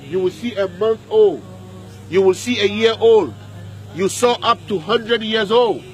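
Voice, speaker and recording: A man's voice: high voice, unhurried, soft background music, poor audio. A man preaching a graveside sermon outdoors, repeating his points emphatically and putting questions to his listeners. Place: outdoors at a cemetery.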